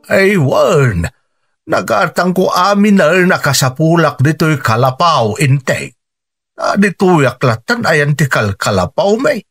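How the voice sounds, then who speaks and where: An actor with an Ilocano accent playing an expressive rural father in a family radio drama. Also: on the high side, slightly rough, full character voice.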